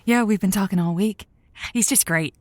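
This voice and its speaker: A woman's voice: mid-range voice, moderate pace, no echo, natural voice. A woman playing a chatty, flirty radio matchmaking host with a playful, theatrical delivery in an audio drama. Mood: excited, casual. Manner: theatrical, playful, wordplay-loving.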